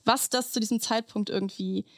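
A woman's voice: on the high side, fairly even intonation, a little fast, dry recording. A woman co-hosting a podcast, telling a story conversationally in an indignant, emphatic, colloquial way and quoting as she goes. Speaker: podcast co-host.

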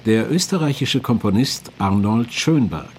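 A man's voice: fairly low pitch, animated, unhurried, a little hiss or hum. A man reading scripted narration for a radio documentary as its narrator.

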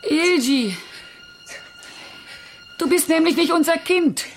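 A woman's voice: extremely high pitch, lively intonation, slow, soft background music, full character voice. An actress playing an anxious, fussing middle-aged mother in a German radio play, pleading and coaxing. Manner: pleading, coaxing, repeating herself.

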